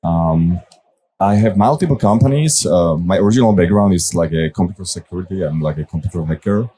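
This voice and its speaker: Low mellow male voice, soft and a bit stumbling. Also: brisk, slightly rough. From Slovakia. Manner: soft-spoken, repeats words. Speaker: panelist, company founder. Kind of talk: unscripted panel talk. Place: conference stage.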